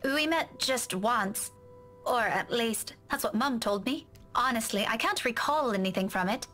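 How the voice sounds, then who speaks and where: A woman's voice: a little high, expressive, a little slow, fairly bright tone, soft background music. A woman playing a hesitant but dryly teasing young woman in a visual novel game. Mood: dry, conversational.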